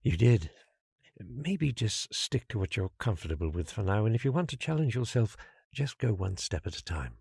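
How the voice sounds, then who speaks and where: A gentle therapist in an audio drama, with a mellow, husky voice. The pitch moves expressively, and the manner is patient and reassuring. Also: sing-song, moderate pace, no room sound, studio quality, exaggerated voice.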